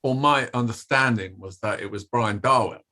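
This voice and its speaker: An actor playing a defensive, evasive company safety official testifying at an inquiry in a play reading, formal and guarded. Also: male voice, mid-range voice, moderate pace, sharp tone, natural voice. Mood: curt, guarded. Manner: guarded, formal, clipped denials with hesitant hedging. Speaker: actor in an online table read.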